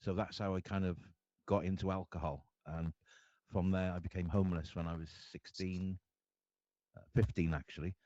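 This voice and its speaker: A very low, mellow-voiced man with a Cardiff accent speaks earnestly, stopping and restarting his sentences as he goes. The sound is dull and lo-fi, dry with no room around it. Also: steady tone, no room sound. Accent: Welsh, Cardiff. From Wales. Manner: earnest, halting, many restarts. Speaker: guest artist. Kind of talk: candid personal storytelling.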